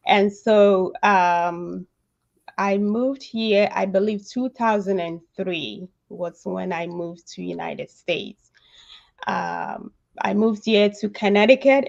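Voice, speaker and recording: Creaky-voiced Ghanaian woman in a dull recording. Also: mid-range voice, takes it slowly. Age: 30s. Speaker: interview guest. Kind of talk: casual personal storytelling.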